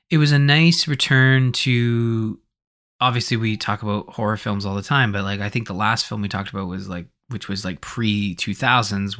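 A man's voice: fairly low pitch, a bit bright. A man co-hosting a casual film discussion in loose, rambling, hesitant phrases. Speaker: podcast co-host.

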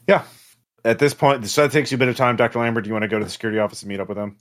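A man's voice: medium pitch, racing. A man speaking as game master, narrating a tabletop game in an improvised, conversational way.

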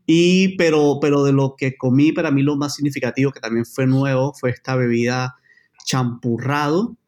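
A man's voice: medium pitch, steady tone, normal speed, a little mellow. A man from Colombia, a journalist guest chatting casually and hesitantly with frequent fillers and restarts. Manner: hesitant, many fillers and restarts, uneven pace. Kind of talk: casual chat.

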